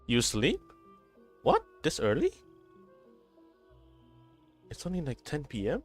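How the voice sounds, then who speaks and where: A man's voice: fairly high pitch, huge pitch swings, slow, faint music behind. A man chatting casually and informally while streaming a video game. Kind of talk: casual livestream chat during gameplay.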